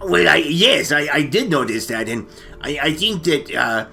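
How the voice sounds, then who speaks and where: A man's voice: fairly high pitch, sing-song, normal speed, vocal fry, sharp tone, faint music behind. A man co-hosting a comic movie chat, hesitant and stammering, often repeating his words. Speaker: comedy storytelling co-host. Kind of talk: casual comic movie discussion.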